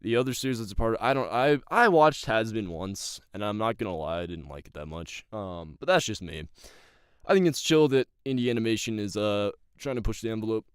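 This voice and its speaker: A man with a gravelly voice, an amateur voice actor chatting casually in loose, slangy talk. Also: fairly low pitch, fairly quick, no echo, studio quality. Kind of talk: casual chat.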